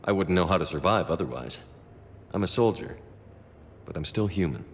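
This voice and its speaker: An actor playing a steady, supportive military commander in a video game, calm with a dry, wry humour. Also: male voice, sits low, moderate pace, long reverb tail, slight background noise. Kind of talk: video game dialogue acting.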